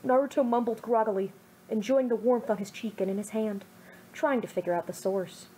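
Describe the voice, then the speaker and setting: A young woman reads a story aloud in a gentle, earnest way, her voice sitting a little high. The reading is homemade, with a faint hiss of background noise behind her. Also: fairly quick, poor audio. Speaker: fan fiction reader. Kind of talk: amateur audiobook reading.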